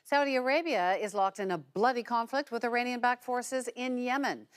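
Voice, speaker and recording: A woman's voice: fairly high pitch, normal speed, clean tone. Woman presenting broadcast news. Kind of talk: scripted broadcast news narration and interview.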